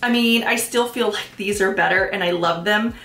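A woman's voice: mid-range voice, normal speed, reverberant. Congested woman chatting through a makeup tutorial. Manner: chatty, relaxed, with frequent ums and likes. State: congested from allergies, sniffling. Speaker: beauty vlogger. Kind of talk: casual makeup tutorial talk. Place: small room at home, plain backdrop. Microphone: camera at head-and-shoulders framing.

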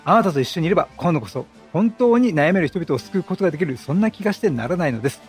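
A man's voice: on the high side, expressive, racing, soft background music. A man voicing an earnest, troubled traveling priest from a video game in polite, solemn phrases.

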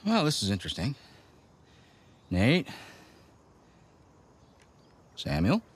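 An actor playing a smug, taunting American villain in a video game cutscene, with theatrical sarcasm. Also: male voice, medium pitch, wildly swooping pitch, takes it slowly, husky, exaggerated voice. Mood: smug, amused. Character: smug, mocking male villain, rich treasure hunter.

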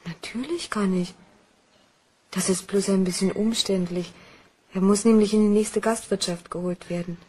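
A woman's voice: medium pitch, normal speed, dark tone, slightly rough, natural voice. An actress playing a concerned, affectionate woman soothing her troubled partner in a German crime radio play, coaxing in manner.

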